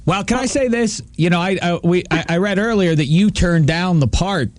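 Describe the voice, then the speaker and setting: A man co-hosting a comedy talk show, chatting casually with mock-earnest, joking flattery. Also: high voice, normal speed, dry recording.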